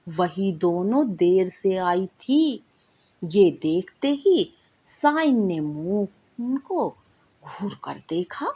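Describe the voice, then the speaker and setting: A woman storyteller narrating a bedtime tale in an expressive, drawn-out, dramatic way. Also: mid-range voice, slightly rough.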